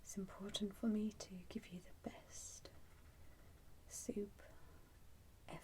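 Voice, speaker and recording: A woman doing an ASMR roleplay as a shopkeeper, whispering breathily and gently reassuring the listener as she narrates each step. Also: medium pitch, flat delivery, takes it slowly. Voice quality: breathy, mostly unvoiced whisper. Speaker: ASMR roleplay performer playing a soup shop keeper.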